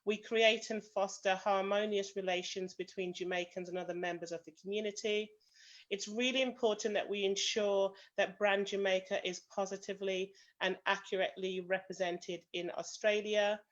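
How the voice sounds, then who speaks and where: A woman with a British accent speaks over a video call at a middle pitch. The audio is a little dull and muffled. She talks through a prepared account, filling pauses with "um" and softening points with "kind of". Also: fairly even intonation. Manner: many "um" fillers, hedges with "kind of". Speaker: association president, panel guest.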